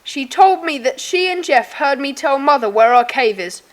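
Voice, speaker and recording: Woman acting earnest schoolboy, high voice, eager, explanatory, children's audio drama. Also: animated, normal speed. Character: eager, earnest schoolboy telling his news.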